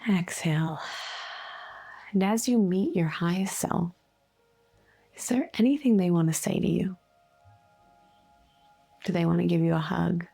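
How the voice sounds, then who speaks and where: Slow, creaky-voiced woman guiding meditation. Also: lowish voice. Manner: soothing, unhurried, gently instructive with long pauses. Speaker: guided meditation host, energy healer. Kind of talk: guided meditation. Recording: soft music bed behind voice. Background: faint music.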